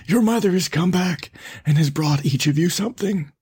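Man putting on a high-pitched, sly storybook wolf. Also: moderate pace, a little mellow, slightly rough. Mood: coaxing, sly. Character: sly, menacing hungry male wolf posing as mother.

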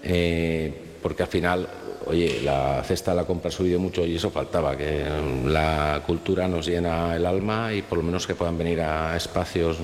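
A man with an accent from Spain, speaking in a halting, conversational way in an informal interview. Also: sits low, fairly even intonation. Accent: Spanish from Spain. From Valencia region, Spain. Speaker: guest, public cultural centre manager. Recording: reverberant hall with faint noise.

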